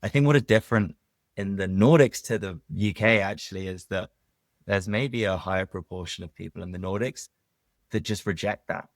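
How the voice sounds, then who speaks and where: A man speaking as a venture investor guest in an interview, thoughtful and relaxed with filler words. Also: low-pitched, moderate pace, dry recording. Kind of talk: casual interview conversation.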